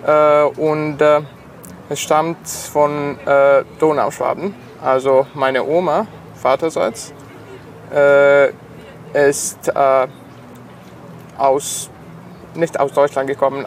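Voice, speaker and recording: A man of twenty-five, a native Serbian speaker with a Serbian accent, answers interview questions haltingly, searching for words in a second language. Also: medium pitch, fairly even intonation, a little hiss or hum. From Sombor, Serbia. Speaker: interview guest, engineering student.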